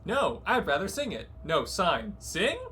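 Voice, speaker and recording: A man's voice: a little high, huge pitch swings, a little slow, crowd noise. A man streaming a game, giving casual, playful commentary with wisecracks.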